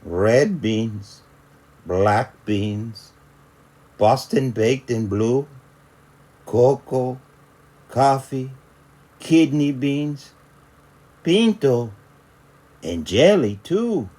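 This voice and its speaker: A man acts out a little storybook chihuahua, earnest and dramatic. He stretches out his words with emphasis and mock-Spanish flavour, in a lively, unhurried voice. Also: mid-range voice. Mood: earnest complaint.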